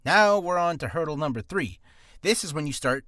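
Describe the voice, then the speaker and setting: A man's voice: fairly high pitch, animated, normal speed, sharp tone, no room sound, studio quality. A man giving beginner voice-acting advice in a casual vlog, nervous and rambling, with self-deprecating asides, from a small, hot, cramped room.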